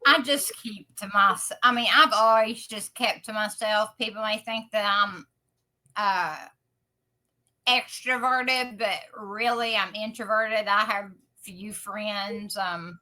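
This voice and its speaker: Bright-voiced American woman, slow and casual. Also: medium pitch, fairly even intonation, rough recording. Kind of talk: casual chat.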